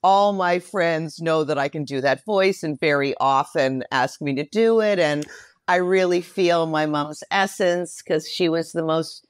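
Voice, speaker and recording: A woman's voice: low voice, measured pace, no room sound, studio quality. A mature woman giving a casual interview as a guest, effusive and reflective about her life and work. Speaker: guest, writer, director and voice performer.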